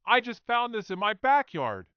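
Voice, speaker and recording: A man acts out an adventurous seven-year-old boy for a children's story. He sounds earnest and wide-eyed, pitched far higher than an adult male, with animated swings and a slightly dull recording. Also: normal speed, smooth, no room sound. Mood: surprised, doubtful.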